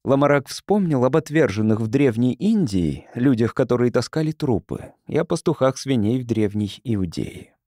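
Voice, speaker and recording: Man narrating with very varied pitch. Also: animated, moderate pace, dark tone, no room sound, clean studio sound. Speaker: audiobook narrator. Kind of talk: scripted audiobook narration of science fiction.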